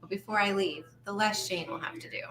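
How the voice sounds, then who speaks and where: A woman's voice: medium pitch, quick pace, fairly bright tone, natural voice. A woman playing a friendly, slightly nervous small-town woman in a table read of a comedy series. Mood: friendly, unsure. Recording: slightly distant mic, faint noise.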